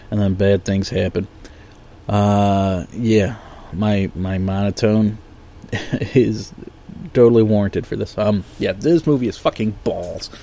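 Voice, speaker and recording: A man with a stuffed-up, nasal voice from allergies talks in a low, gravelly, mellow tone. He rambles casually and bluntly, swearing often and filling gaps with ums and uhs. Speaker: podcast host reviewing movies.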